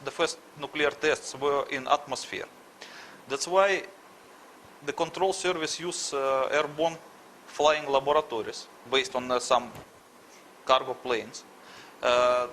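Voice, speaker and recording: A middle-aged man with a Russian accent answers questions in a halting, word-searching way. His voice is bright and forward. A faint background ambience sits under the recording. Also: mid-range voice, slight background noise, low-quality recording. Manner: halting, searches for words, frequent restarts. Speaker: interviewee. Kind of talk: conversational interview answers.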